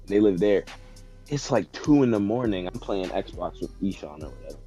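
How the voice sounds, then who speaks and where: A man with a fairly low voice tells a comedic story among friends. His talk is slangy and animated, loose and casual. Faint music plays behind him. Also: normal speed. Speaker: podcast guest telling a story. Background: background music.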